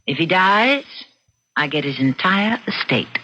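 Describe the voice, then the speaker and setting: An actress playing a bored, cunning wife in her thirties in an old-time radio drama, with cool, theatrical, deliberate delivery. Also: lowish voice, sing-song, pushed and bright, exaggerated voice. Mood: cool, deadly serious. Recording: dull, noisy vintage radio recording.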